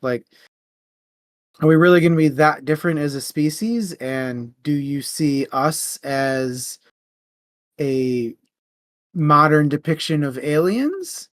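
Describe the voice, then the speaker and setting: A man's voice: fairly high pitch, expressive, takes it slowly, long pauses, no echo. A man hosting a casual book discussion. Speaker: host of a book discussion stream.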